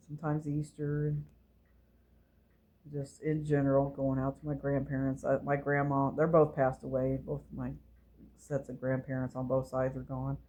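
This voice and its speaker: A woman, who reminisces casually and gently, meandering along as she talks to her viewers. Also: poor audio. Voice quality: low voice. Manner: slow, meandering and gentle, with fillers. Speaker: channel host.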